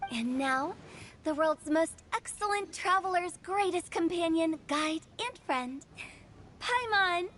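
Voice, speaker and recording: A woman's voice: very high-pitched, background noise. A woman voicing a playful, mischievous young female host in a video game, speaking with breezy theatrical flair. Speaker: host of the gathering. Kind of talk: video game character acting.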